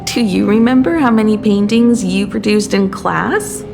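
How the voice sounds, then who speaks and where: An actress playing a frail, defiant and wistful young painter in a video game drama. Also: female voice, mid-range voice, normal speed, gravelly, over music, natural voice.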